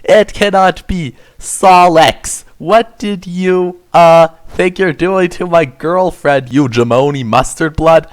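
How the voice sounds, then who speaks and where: A man voicing a timid but earnest young male troll, halting and stammering, in a comedic story reading. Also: a little high, voice pushed up. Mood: indignant. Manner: halting, filled with "uh", protesting. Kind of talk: comedic dramatic reading of fan fiction.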